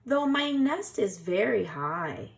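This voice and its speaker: A woman's voice: mid-range voice, sing-song, slow pace, creaky, exaggerated voice. A woman voicing a small, fluttery, trilling, dramatic bird for a children's storybook. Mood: generous. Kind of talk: children's storybook read-aloud.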